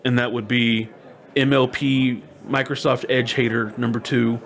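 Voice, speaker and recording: A man's voice: mid-range voice, level tone, measured pace, creaky. A man hosting a casual podcast chat in a playful, jokingly ceremonious way. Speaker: podcast host.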